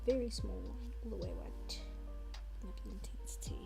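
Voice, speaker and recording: A woman's voice: fairly low pitch, wildly swooping pitch, normal speed. Chatty South African woman artist streamer. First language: Afrikaans. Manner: chatty and rambling, muttering to herself as she works. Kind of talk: casual chat while drawing on stream. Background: faint background music.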